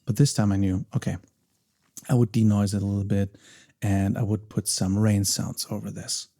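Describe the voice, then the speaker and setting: Low, gravelly, soft-spoken man. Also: flat delivery, normal speed. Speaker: voice actor and ASMR creator. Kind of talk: soft-spoken behind-the-scenes commentary.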